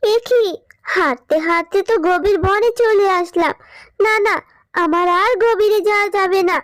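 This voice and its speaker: A woman's voice: extremely high pitch, normal speed, no echo. A woman voicing a sweet, earnest young animal girl in a children's cartoon, childlike and friendly. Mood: wary. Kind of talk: Bengali children's cartoon dubbing. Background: music.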